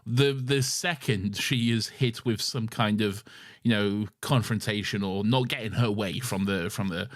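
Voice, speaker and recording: A man's voice: lowish voice, measured pace. Guy cohosting a podcast, just bantering, swears a bunch, jokey, does piss-take retellings. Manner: sweary, jokey, mimics characters mockingly. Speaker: podcast co-host. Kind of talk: casual banter, comic retelling.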